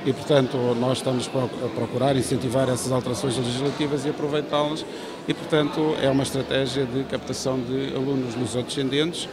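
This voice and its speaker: A middle-aged man with a European Portuguese accent gives formal interview remarks in a halting way. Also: medium pitch, level tone. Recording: clear crowd noise behind.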